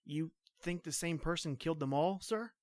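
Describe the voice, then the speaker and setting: A man's voice: fairly high pitch, moderate pace, dry recording, studio quality, close to an everyday voice. An actor playing an earnest young state trooper in a radio drama, insisting he is telling the truth. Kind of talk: old-time radio drama reading.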